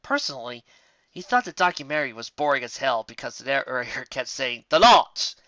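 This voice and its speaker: Story narrator with casual asides: bright, forward voice, animated reading, dry and slightly dull home recording. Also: mid-range voice, a little fast, no room sound. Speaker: narrator reading fan fiction aloud.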